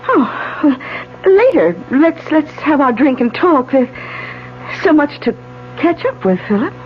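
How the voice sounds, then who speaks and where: An actress playing an excitable, nervous wife in a 1970s radio drama, with theatrical, hesitant delivery. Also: female voice, sits high, measured pace. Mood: anxious. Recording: muffled 1970s AM radio broadcast, music behind.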